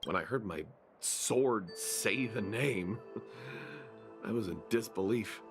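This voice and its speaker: A man playing a dazed, bewildered soldier in a video game, stammering and halting as he recounts events. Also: on the low side, over music. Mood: bewildered, shaken. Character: shaken, bewildered young male soldier recovering from possession. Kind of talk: dramatic video game dialogue.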